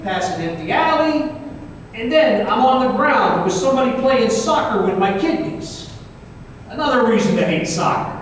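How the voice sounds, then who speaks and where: A man playing a world-weary, sardonic private investigator, narrating his case in a wry, halting way in a radio drama. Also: very high voice. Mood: sardonic, exasperated. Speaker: narrator and lead detective. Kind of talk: old time radio drama performance. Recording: very echoey hall, distant mic, faint noise.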